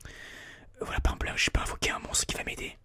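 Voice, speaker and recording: Whispered ASMR gaming commentary, bright and breathy. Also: quick pace. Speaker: ASMR gaming video host. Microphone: close binaural microphone.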